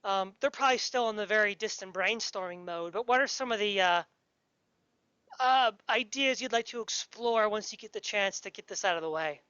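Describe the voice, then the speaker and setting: A woman's voice: mid-range voice, normal speed, a bit bright, clean tone, no room sound. A woman hosting a casual podcast interview in an informal, enthusiastic way. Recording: dry, dull lo-fi audio.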